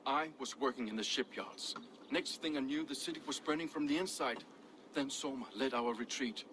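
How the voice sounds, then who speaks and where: An actor playing a dutiful Viking shipwright in a video game, answering in a terse, firm, defensive manner. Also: male voice, mid-range voice, moderate pace, close to an everyday voice. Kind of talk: video game cutscene dialogue. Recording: game audio with clear ambient noise.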